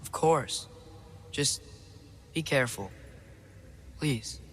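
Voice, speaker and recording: A man's voice: mid-range voice, expressive, very slow, faint music behind. A young man playing an earnest, guarded teenage boy hero in a video game, hesitant and stammering when flustered. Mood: awkward, tender. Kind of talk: naturalistic video game acting.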